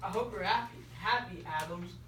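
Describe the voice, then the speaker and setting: A teenage girl acting indignant, commanding colonial figures loudly in a school dramatic reading. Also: medium pitch, moderate pace, close to an everyday voice. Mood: accusing. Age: young teenager. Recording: echoey classroom, far from mic, some noise.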